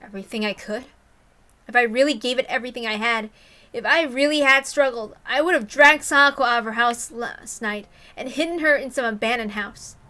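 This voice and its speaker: A woman's voice: fairly high pitch, a little fast, sharp tone. A woman reading a story aloud expressively, with casual commentary of her own. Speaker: reader and commentator.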